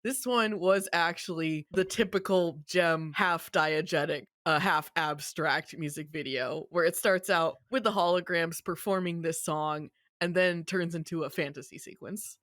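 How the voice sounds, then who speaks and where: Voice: medium pitch, measured pace, few pauses, a bit bright, no echo, clean studio sound. A podcast host recapping a story in casual chat, with a wry manner and easy swearing.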